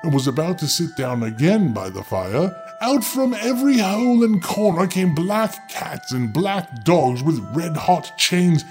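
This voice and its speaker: A man's voice: sits high, darkish tone. A man narrating a fairy tale as a storyteller, theatrical and impish in his delivery. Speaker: storyteller, host. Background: music.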